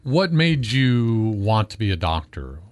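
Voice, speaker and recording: A man's voice: on the low side, big pitch swings, a little slow. An American man hosting a studio radio program, asking questions in a conversational interview style. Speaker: radio host.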